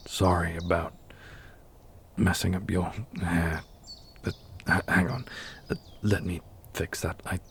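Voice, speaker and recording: A man playing a brooding dark fantasy prince who is tender with his lover, in an intimate, hushed ASMR roleplay, gravelly and at times whispering. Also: deep voice, slight background noise, heavily acted. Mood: soft, affectionate. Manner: slow, hushed, deliberate with long pauses.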